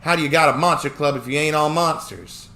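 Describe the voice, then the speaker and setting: A man voicing a hostile young female dragon-girl with a rough Southern country drawl and a snappish, suspicious manner. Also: medium pitch, normal speed, fairly bright tone, pitched above the performer's own voice, lightly acted. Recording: roomy echo, faint noise.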